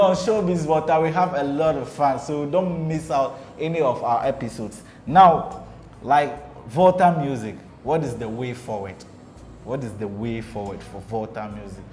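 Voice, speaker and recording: A man's voice: medium pitch, darkish tone, a little hiss or hum. A Ghanaian man hosting a casual interview, speaking to viewers and putting questions to his guest. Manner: short affirming replies, upbeat address to viewers. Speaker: show host. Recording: echoey room.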